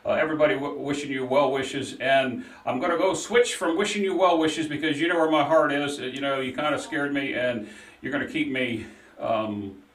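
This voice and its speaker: A man's voice: medium pitch, normal speed, fairly bright tone, roomy sound, recorded from a distance, low-quality recording. A man co-hosting a live call-in show, chatting and reading out viewer messages. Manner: chatty, runs the chat on air. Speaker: co-host.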